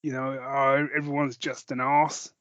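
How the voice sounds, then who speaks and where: A man's voice: mid-range voice, level tone, a little slow, fairly bright tone, dry recording, muffled recording. A man chatting casually as a podcast co-host, rambling and jokey in a self-deprecating way.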